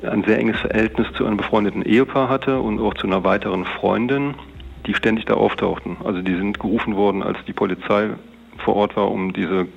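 Male detective, low pitch, heavy fry, matter-of-fact recounting, dull lo-fi interview sound. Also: fairly even intonation, a little fast. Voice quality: creaky, gravelly. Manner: matter-of-fact, colloquial, long winding sentences. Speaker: police detective being interviewed. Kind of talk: unscripted recounting of an investigation. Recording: dull, band-limited interview recording.

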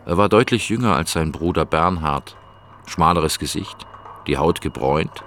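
A male narrator tells a crime story in scripted radio drama style. His voice is very low, with a heavy gravelly creak. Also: moderate pace, a bit bright, slight background noise.

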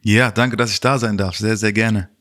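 A man with a fairly low voice talks as an interview guest. He is from Germany and speaks reflectively and colloquially, laying out his account step by step. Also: steady tone, moderate pace, a bit bright. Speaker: social worker, interview guest. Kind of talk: personal, reflective interview talk.

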